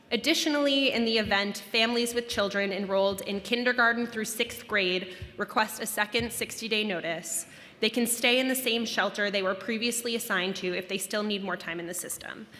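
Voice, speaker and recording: Bright-voiced woman reading formal testimony. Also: medium pitch. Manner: steady formal delivery. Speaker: city agency director testifying. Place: large council chamber. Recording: hall reverb, faint room noise.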